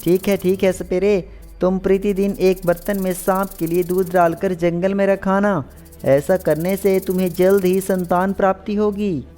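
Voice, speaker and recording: A man voicing a magical shape-shifting female serpent, beseeching and gracious, with drawn-out phrasing, in an animated story. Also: high-pitched, faint music behind, voice pushed up, lightly acted. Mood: gracious, granting.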